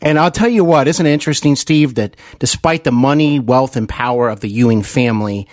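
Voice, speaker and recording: A man's voice: medium pitch, a little fast, a little mellow, dry recording, clean studio sound. A man from the American Midwest hosting a conversational podcast interview, appreciative and a little hesitant in his phrasing. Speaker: podcast host.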